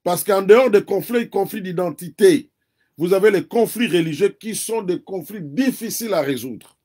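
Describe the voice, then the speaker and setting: A man with a Congolese accent and a notably high voice for a man. He speaks earnestly and builds his case step by step, repeating phrases for emphasis. His first language is Kikongo. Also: expressive, moderate pace, darkish tone. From Democratic Republic of the Congo. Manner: earnest, argues point by point with repetition. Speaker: interview guest. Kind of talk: earnest conversational commentary.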